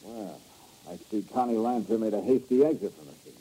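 A tough, wisecracking insurance investigator in a 1950s radio play. His mellow voice rises and falls a lot as he trades dry, sarcastic jabs. He speaks in short, clipped lines. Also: lowish voice, very animated, a little fast, creaky, slight background noise, rough recording. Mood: sardonic. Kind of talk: 1950s radio drama acting.

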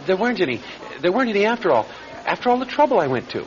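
A man's voice: high-pitched, sing-song, racing. An actor in an old radio crime drama playing a timid, eccentric man who is eager to have his confession believed, speaking hesitantly and earnestly. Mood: aggrieved, confessing. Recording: dull old radio recording.

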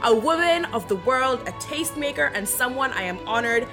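Woman, high voice, podcast host, sassy, effusive, slangy casual chat, light music in places. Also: animated, a little fast.

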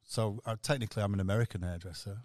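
Lowish-voiced Englishman in casual chat. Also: fairly even intonation, racing, darkish tone, a little hiss or hum. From Sheffield, England. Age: middle-aged. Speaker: podcast guest, hairdresser.

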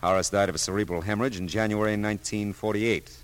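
An American actor playing a dry, persistent private detective in an old radio drama, with a wry, clipped, hard-boiled delivery. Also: male voice, on the low side, fairly even intonation, quick pace, clear, resonant tone, natural voice. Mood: insistent. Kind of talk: 1940s-50s radio detective acting with first-person narration. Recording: old radio show, faint music.

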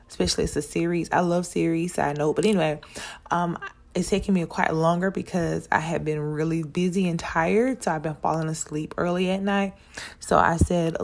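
Gravelly-voiced woman podcast host. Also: fairly low pitch, darkish tone. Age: 30s. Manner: chatty and rambling, talks straight to fellow moms. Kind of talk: casual solo podcast talk.

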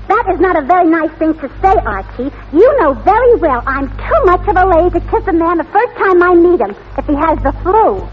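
A woman's voice: very high-pitched, sing-song, brisk, hardly any pauses, a little hiss or hum. An actress playing a dizzy, man-chasing single woman who pretends to be a proper lady in a 1940s radio comedy. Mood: indignant, coy. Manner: mock-ladylike, naive, matter-of-fact. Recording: thin, muffled old radio recording.

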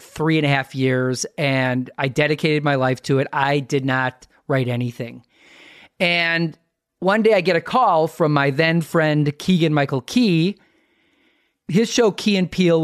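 A man's voice: a little high, no echo, studio quality. A middle-aged American man hosting a podcast, telling stories conversationally in a wry, self-deprecating way. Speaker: podcast host. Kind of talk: conversational storytelling.

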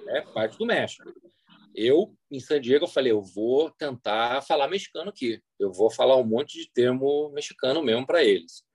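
A man's voice: medium pitch, animated, normal speed, a little mellow, slightly rough, no room sound. A Brazilian man speaking as a guest interpreter in a casual, anecdotal chat, using slangy, colloquial speech.

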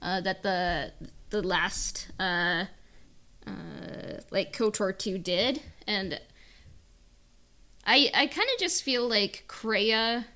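A woman's voice: mid-range voice, drawn-out words, sharp tone. A woman in casual discussion as a podcast panelist, giving opinions in a hesitant, hedging, informal way. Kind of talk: casual chat, opinion on a book.

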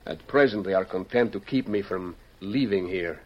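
A man's voice: deep voice, normal speed. A man playing a proud, courteous fugitive Mexican revolutionary with a Mexican accent, speaking earnestly and formally in an old-time radio drama. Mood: urgent, earnest. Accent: Mexican Spanish. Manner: earnest, formal, theatrical phrasing. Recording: muffled lo-fi vintage radio recording.

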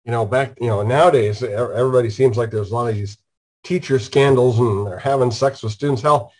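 A man's voice: mid-range voice, fairly quick. Stuffed-up man hosting a casual show. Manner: joking, rambling storyteller. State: stuffed up with a cold. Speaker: host. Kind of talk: casual online talk show chat.

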